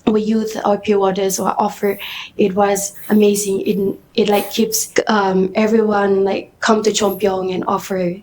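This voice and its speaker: A woman's voice: mid-range voice, little pitch movement, a little slow, a little mellow, low-quality recording. A woman in her twenties from Fiji, a youth missionary sharing a heartfelt testimony in a hesitant way. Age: 20s.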